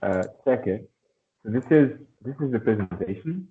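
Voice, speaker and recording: A man teaches one-on-one over a video call, encouraging and repeating himself as he guides the student. His voice is on the low side, and the audio is thin and tinny like a phone line. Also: animated, moderate pace. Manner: friendly, encouraging, repeats words. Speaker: language teacher. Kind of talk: online lesson conversation.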